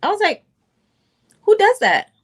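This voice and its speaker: A woman in her late twenties chatting casually in a group podcast, with an animated, joking, slangy way of talking. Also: very high-pitched, unhurried. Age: late 20s. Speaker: podcast panelist.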